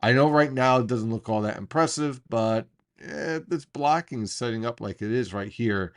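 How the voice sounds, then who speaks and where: A man presenting an unscripted weather briefing as a forecaster, explaining things emphatically with deliberate pauses. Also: medium pitch.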